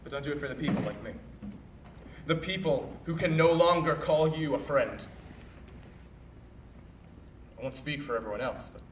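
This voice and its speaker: A young man on stage playing a bitter, reproachful college student who confronts a former friend in an accusatory monologue with deliberate pauses. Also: on the high side, natural voice. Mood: hurt, resigned. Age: young adult. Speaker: stage actor. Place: indoor performance space, picked up from far off. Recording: roomy, distant live stage recording, faint noise.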